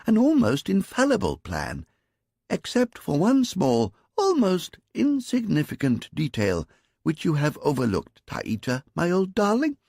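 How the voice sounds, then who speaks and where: A man's voice: on the high side, huge pitch swings, unhurried, darkish tone, dry recording, studio quality, voice pushed up, heavily acted. A man voicing a sly, gloating, heavyset older male spy with a smug, teasing manner, reading an audiobook.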